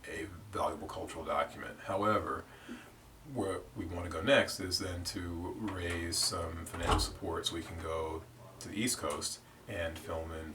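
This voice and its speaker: A man explaining his film work in an earnest, slightly halting interview style. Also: sits low. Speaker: documentary filmmaker. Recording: echoey, distant, noisy room.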